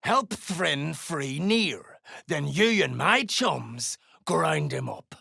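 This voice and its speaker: An actor playing a gruff, greedy duergar mercenary dwarf in a video game, with a gravelly voice and coarse, threatening speech. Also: mid-range voice, expressive, extremely slow, sharp tone, no echo, clean studio sound, exaggerated voice. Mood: angry, threatening. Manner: coarse, swearing, dialect-heavy threats. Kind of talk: video game character voice acting.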